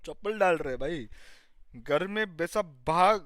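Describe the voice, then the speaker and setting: A man, chatting loosely to his stream and ribbing people with blunt, crude jokes. His voice sits a little high for a man, and the sound is dry and close with no room echo. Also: animated, racing, fairly bright tone. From India. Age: 30s. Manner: joking banter, mixing Hindi words into English. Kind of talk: casual live-stream chat.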